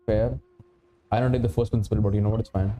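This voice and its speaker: A man's voice: on the low side, steady tone, quick pace, narrow, tinny audio, soft background music. A man livestreaming a video game with casual commentary, often repeating words and restarting as he talks. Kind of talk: casual gaming commentary with a friend.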